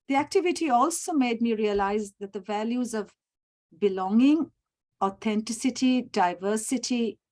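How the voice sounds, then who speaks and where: A middle-aged woman from Sri Lanka, giving a formal prepared presentation over a video call. She is measured and often clears her throat. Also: on the high side, a little mellow. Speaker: summit presenter.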